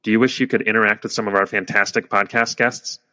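A man's voice: lowish voice, steady tone, quick pace, sharp tone. A young man hosting a podcast, reading the show intro in an upbeat, polished way. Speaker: podcast host.

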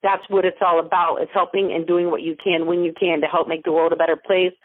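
A woman's voice: lowish voice, level tone, brisk, no room sound. Woman mentor and founder, emphatic motivational speaker, tinny phone-line audio. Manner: emphatic, motivational, stacks repeated phrases. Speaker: guest, mentor and business founder. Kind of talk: interview over a phone line.

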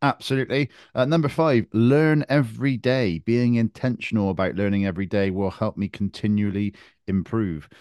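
A man hosting a casual podcast, with a smooth, resonant voice heard up close in a dry, dead space. He keeps things brisk and encouraging as he steers the conversation. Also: on the low side, fairly even intonation, a little mellow, no echo. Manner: brisk, encouraging, moves the talk along. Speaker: podcast host.

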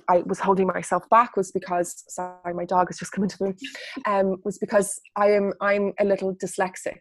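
A woman's voice: lowish voice, a little mellow, no room sound. A woman coach and author, talking earnestly through a personal story in an interview answer. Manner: earnest, storytelling, frequent restarts.

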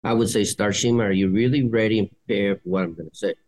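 A man's voice: lowish voice, fast, darkish tone, no room sound. Brief: middle-aged male podcast co-host, blunt and profane, dry close sound. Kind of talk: blunt casual debate.

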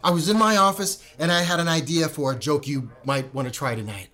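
An actor playing an affable, glib radio network executive in an audio drama, with a breezy, casual manner. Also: male voice, a little high, fairly quick, pushed and bright.

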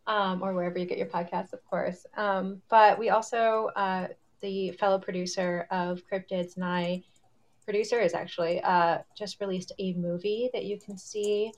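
A woman's voice: medium pitch, level tone, normal speed. A woman moderating a panel in casual chat, effusive and encouraging.

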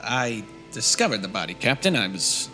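A man's voice: on the low side, very animated, brisk, pushed and bright, faint music behind. A man playing a nervous, bumbling amateur detective in a comedic radio play, stammering and evasive. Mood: flustered.

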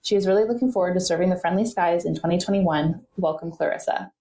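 Woman host, lowish voice, measured read, echoey room, a bit off-mic. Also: recorded from a distance. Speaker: podcast host. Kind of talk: scripted intro then interview questions. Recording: roomy echo, off-mic, soft music.

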